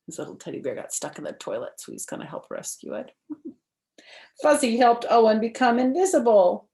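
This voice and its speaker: An older woman reading a picture book aloud to children, expressive and deliberate, with friendly asides to young listeners. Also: fairly high pitch. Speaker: storyteller for children. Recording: echoey room.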